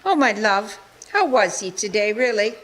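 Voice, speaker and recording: A woman's voice: mid-range voice, big pitch swings, moderate pace, a bit bright. A woman playing a busy, loving, outspoken Victorian mother in a live radio play. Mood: concerned.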